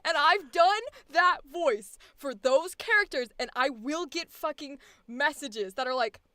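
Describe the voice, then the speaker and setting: Animated, bright-voiced woman voice actor. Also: high voice, unhurried, dry recording. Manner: animated, swears a lot, laughs often. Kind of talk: casual chat.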